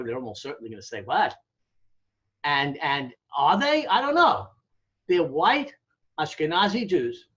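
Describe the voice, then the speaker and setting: An American man explaining things in a conversational interview, emphatic and didactic, often checking in and posing rhetorical questions. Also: on the high side. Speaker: academic interviewee, demographer. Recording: dull, lo-fi audio.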